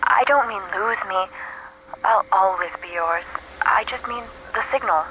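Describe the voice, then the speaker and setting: A woman's voice: medium pitch, measured pace, sharp tone. A woman playing a tender, wistful lover in a retro science fiction audio drama, her voice filtered like an old phonograph with static. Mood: apologetic, loving. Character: tender, wistful woman in love, speaking from another universe. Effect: old phonograph or radio filter with static. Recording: small distant filtered voice, crackling static. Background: static noise.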